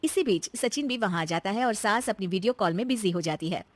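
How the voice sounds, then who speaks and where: A woman's voice: mid-range voice, expressive, brisk, no room sound. A woman reading a scripted moral tale as the narrator of a story.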